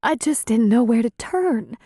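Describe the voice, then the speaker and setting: Woman acting a high, quavering, distraught country grandmother. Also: lively intonation, dark tone, slightly rough, no room sound, studio quality. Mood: troubled, pleading. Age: middle-aged. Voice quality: quavering, wailing voice. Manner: pleading, halting, folksy plain speech. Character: distraught rural grandmother, weary, pleading new mother. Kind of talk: audiobook character dialogue.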